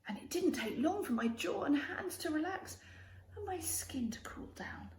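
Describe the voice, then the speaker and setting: High-pitched, lively woman reading a children's story. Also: moderate pace. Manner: expressive, addresses child listeners with questions and prompts. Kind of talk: children's story read-aloud. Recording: roomy echo with faint background noise.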